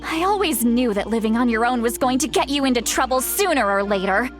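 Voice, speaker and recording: A woman's voice: a little high, lively intonation, a little fast, soft background music, close to an everyday voice. A woman playing a stern, exasperated guardian who scolds like an elder in a video game. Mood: exasperated scolding.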